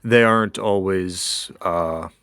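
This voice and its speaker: A man chatting casually as a game co-host in a measured, explanatory way. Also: low voice, fairly bright tone, clear, resonant tone, dry recording, faint music behind. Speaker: gaming co-host. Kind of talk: casual chat during a game.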